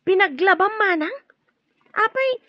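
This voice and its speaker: A woman's voice: very high-pitched, expressive, unhurried, no echo, dull audio. A woman playing a concerned, protective motherly figure in an Ilocano radio drama. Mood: disapproving.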